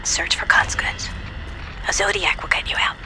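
An actress voicing a hushed, businesslike heist briefer giving clipped, whispery instructions in a video game. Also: female voice, moderate pace, natural voice, rough recording. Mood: focused, secretive. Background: music.

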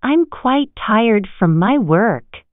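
Woman, slow lesson phrases, animated intonation, dry tinny audio. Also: a little high, no room sound. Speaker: phrase reader in a language lesson. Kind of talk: repeating phrases for learners. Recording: dry, phone-like narrow band.

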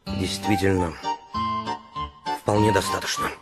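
An actor playing a stern, indignant grown son in a radio drama, curt, reproachful and sardonic. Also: male voice, low-pitched, flat delivery, unhurried, loud music behind the voice. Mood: resolute.

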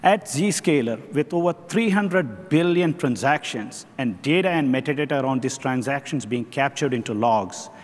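Tech executive presenting on a big stage. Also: male voice, medium pitch, animated. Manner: measured, rhetorical questions. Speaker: chief technology officer. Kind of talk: keynote presentation. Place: large conference stage. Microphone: headset mic. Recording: long reverb of a large hall.

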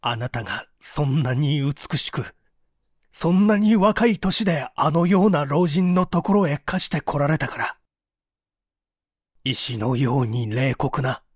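A reader as an anguished, bitter secretary, animated man. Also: on the high side, no room sound, studio quality. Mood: bitter reproach. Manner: groaning, bitter, dramatic. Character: anguished male secretary, bitter with long-suppressed love.

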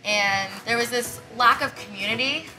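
A woman's voice: on the high side, normal speed, over loud music, low-quality recording. A young woman speaking earnestly in an interview as the founder of a business. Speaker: gym founder being interviewed.

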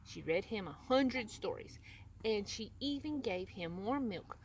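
A woman in her thirties reading a picture book aloud to young children, pausing to ask them questions. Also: medium pitch, expressive. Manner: pauses to put questions to young listeners. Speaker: storyteller, host of children's read-alouds. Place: open grassy farm field with chickens. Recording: outdoor noise, animal sounds. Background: faint outdoor ambience and animals.

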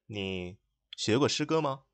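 A woman's voice: very deep voice, lively intonation, unhurried. A woman playing a haughty young male courtesan and poet in a comic audio drama, self-important and declamatory. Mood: pompous. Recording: loud music behind the voice.

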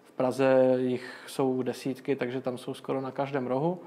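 A man's voice: medium pitch, monotone, dark tone. A young man answering interview questions in a casual way, explaining at length with frequent fillers and restarts. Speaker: guest, crypto magazine owner.

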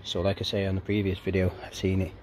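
A man's voice: deep voice, monotone, fast, darkish tone. A man chatting informally and ramblingly as he narrates a casual handheld DIY vlog outdoors. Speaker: DIY vlogger. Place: outdoors in a back garden. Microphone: camera or phone held by the speaker. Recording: outdoor ambient noise, handheld camera.

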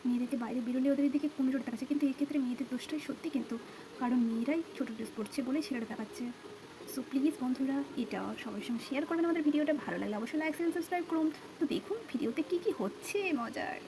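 A woman's voice: high voice, rapid-fire, noisy background. A woman telling a children's puppet story as host and narrator, with music over her voice.

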